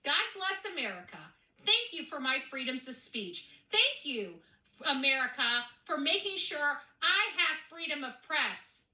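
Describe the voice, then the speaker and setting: A woman's voice: high voice, very animated, a little slow, sharp tone. A brash, foul-mouthed woman on a confrontational, emphatic rant. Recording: echoey room sound.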